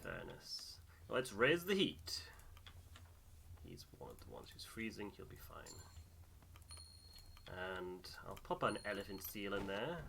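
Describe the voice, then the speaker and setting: A man's voice: lowish voice, very animated, unhurried, creaky, long pauses, pushed and bright, a little hiss or hum. A man chatting casually as he plays through a game and comments on it. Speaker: gamer doing a voiced playthrough. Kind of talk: casual gameplay chat.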